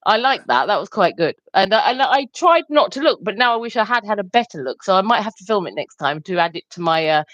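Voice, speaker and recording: A woman's voice: mid-range voice, sing-song, fast talker, hardly any pauses, a bit bright, dry recording, muffled recording. A woman from London co-hosting a livestream, cheeky and teasing, often laughing. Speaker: livestream co-host. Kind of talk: casual banter.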